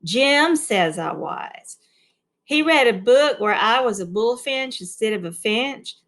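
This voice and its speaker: Woman reading as an earnest little schoolgirl, slightly raised pitch. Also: lively intonation, slow, fairly bright tone, full character voice. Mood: matter-of-fact. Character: young schoolgirl, earnest, frank, defensive, folksy. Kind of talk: read-aloud storybook character.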